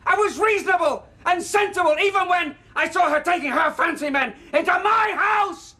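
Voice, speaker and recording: A man's voice: sits high, very animated, brisk, exaggerated voice. A bitter, self-pitying stepfather. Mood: furious. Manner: flares into shouting, then pleads. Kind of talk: TV soap drama acting.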